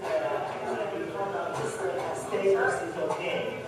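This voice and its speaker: A man from China explaining things as a local guide in a filmed clip, halting and laughing things off. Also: high-pitched. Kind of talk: conversational explanation. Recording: echoey hall, far off, crowd noise.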